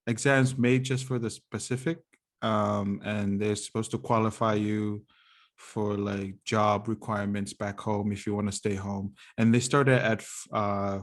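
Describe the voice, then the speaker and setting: A man, a native Tongan speaker, hosting a podcast in English in a relaxed way with frequent fillers. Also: lowish voice. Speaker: podcast host.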